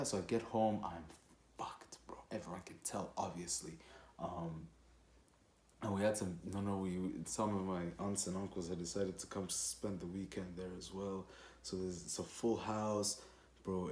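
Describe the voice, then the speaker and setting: A young man from Rwanda telling a personal story casually, in an animated, slangy and profane way. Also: low voice, a little hiss or hum. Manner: animated, slangy, profane, with acted-out quotes and sound effects. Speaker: storytelling vlogger. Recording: echoey, roomy sound.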